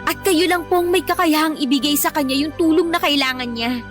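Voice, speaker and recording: An earnest young student in a radio drama. Her voice is very high, and she pleads with respectful but firm conviction. Soft music runs underneath. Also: a little fast. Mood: hurt, firm. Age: young adult. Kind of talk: Tagalog radio drama acting.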